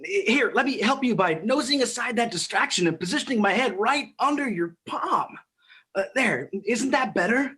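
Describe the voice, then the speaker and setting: A man's voice: very high voice, lively intonation, normal speed, pushed and bright, slightly rough, pitched above the performer's own voice, exaggerated voice. A man voicing a needy, wheedling pet dog in a comic radio ad, with coaxing salesman patter.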